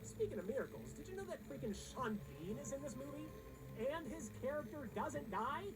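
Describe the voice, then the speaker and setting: A woman's voice: high-pitched, sing-song, brisk, poor audio. A woman narrating a scripted pop-culture countdown in an emphatic, slangy, wry manner. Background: music under the voice-over.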